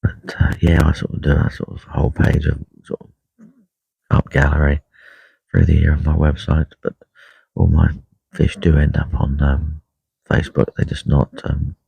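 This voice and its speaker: A man with a croaky voice talking quietly and unhurriedly on a live stream from a lake bank, full of ums and uhs. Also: a bass voice, monotone, moderate pace, no echo. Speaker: carp angler livestreaming. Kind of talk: casual live stream chat. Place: lakeside fishing swim outdoors. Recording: dull lo-fi live stream audio.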